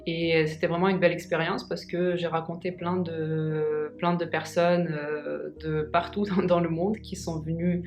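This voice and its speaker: A woman in her twenties whose first language is Russian, talking in a casual vlog and pausing often with fillers and restarts. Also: deep voice, level tone, darkish tone, faint music behind. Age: 20s. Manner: hesitant, many pauses and fillers. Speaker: vlogger. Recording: echoey room.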